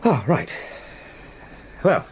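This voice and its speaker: An actor playing a polite, slightly unsure young English solicitor, deferential and earnest, in a radio drama. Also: male voice, very slow, a little mellow, slight background noise. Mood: chatty, flustered. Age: young adult.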